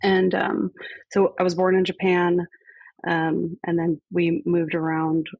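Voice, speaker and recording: A woman in her thirties, a podcast guest, talking in a relaxed, reflective way with many fillers. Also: on the low side, slow pace, gravelly, no echo, highs cut off. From grew up on a base in Spain. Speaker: guest, fire department fitness coordinator. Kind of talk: conversational podcast interview.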